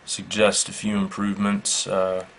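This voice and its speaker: A man with a gravelly, even-toned voice walks through a product casually and without a script. His delivery is slow, measured and halting, with a matter-of-fact manner. There is faint noise behind him. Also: sits low. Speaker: product reviewer. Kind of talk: casual unscripted product demonstration.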